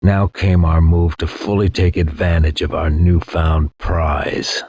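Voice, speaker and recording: A man playing a war-weary space soldier, narrating a past campaign in a grave, measured, reflective way with a husky voice. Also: level tone, a little mellow, heavily acted. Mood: brooding. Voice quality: deep, husky. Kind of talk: dramatic scripted war-memoir narration. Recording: dull, lo-fi game audio.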